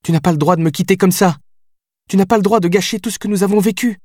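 A man's voice: medium pitch, fairly even intonation, normal speed, husky, no echo, studio quality, lightly acted. A man reading an audiobook voices a passionate, heartbroken young woman who pleads and cries out. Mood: tearful, furious. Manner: pleading, then shouting through tears. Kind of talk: audiobook character voice.

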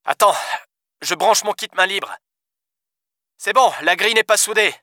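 Guy doing a cussing cop on the phone, high bright voice, dry sound. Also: unhurried, dry recording. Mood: gruff. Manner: swears often, blunt. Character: gruff foul-mouthed male French cop.

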